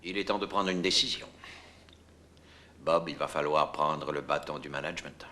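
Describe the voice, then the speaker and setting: A man acting an authoritative, probing senior manager in a dubbed docudrama, deliberate and questioning. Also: very deep voice, bright, forceful tone, a little hiss or hum.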